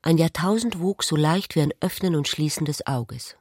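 A woman's voice: deep voice, moderate pace, a little mellow, breathy edge, dry recording. Contemplative woman narrating, close and dry. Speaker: narrator of a literary radio play. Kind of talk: literary scripted narration.

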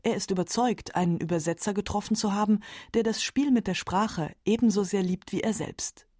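A woman narrates a scripted radio documentary. Her voice is mellow and relaxed, recorded very dry. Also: mid-range voice, fairly quick, no echo.

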